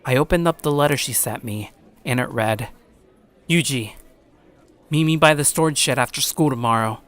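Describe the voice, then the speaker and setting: A man's voice: mid-range voice, moderate pace, fairly bright tone, natural voice. Man playing a troubled, earnest schoolboy narrator. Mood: pensive. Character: earnest, troubled teenage schoolboy narrating his own story. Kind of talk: dramatic first-person visual novel narration.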